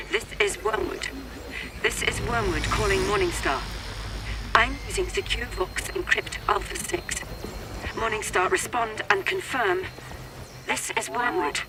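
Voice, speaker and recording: A woman's voice: mid-range voice, unhurried. A woman playing a solemn, zealous undercover agent, sending insistent scripted reports over a science-fiction radio link. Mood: solemn, guarded. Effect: radio transmission. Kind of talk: scripted sci-fi radio transmission. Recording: very noisy, crackling radio transmission.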